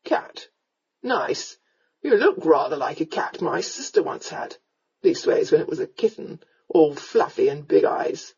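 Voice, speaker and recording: Storybook drunken gentleman rake, played by a woman: lowered pitch, slurred and drawling, roguishly amused, colloquial. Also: very animated, unhurried, husky, muffled recording, exaggerated voice. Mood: tipsy, amused.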